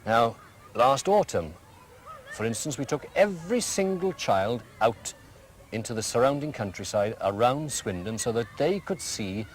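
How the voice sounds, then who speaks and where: Welsh headmaster in an old community tv interview, voice going up and down a lot, steady and explanatory. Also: male voice, medium pitch. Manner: measured, explanatory, full of examples. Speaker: school headmaster. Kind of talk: archive community TV interview answers.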